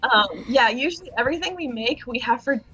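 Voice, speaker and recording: A woman's voice: a little high, animated, brisk, pushed and bright, faint music behind. A woman talking casually as a guest, a food blogger who explains things at length with small restarts. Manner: chatty, detailed explainer. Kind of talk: casual interview chat. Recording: dull lo-fi recording.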